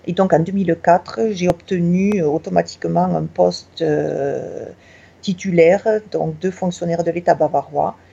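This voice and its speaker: A middle-aged woman with a southwest French accent speaks slowly and hesitantly, with many pauses. Her voice is low, mellow and gravelly. The sound is a little dull and lo-fi. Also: steady tone. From Tarn, southwest France. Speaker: teacher at a Bavarian high school. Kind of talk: interview answer.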